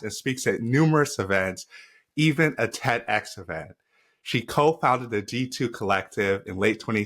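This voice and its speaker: A man with a fairly low voice hosts an interview podcast over a video call. He is affirming and easygoing, quick to answer his guest with "wow" and "absolutely". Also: fairly bright tone. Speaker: podcast host. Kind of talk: casual interview hosting.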